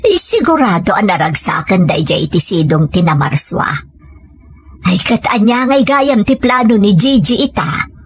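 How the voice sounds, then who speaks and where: A woman's voice: medium pitch, big pitch swings, measured pace, slight background noise. A woman with an Ilocano accent playing a kindly, reassuring older village woman in an audio drama. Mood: interested. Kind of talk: audio comedy-drama acting.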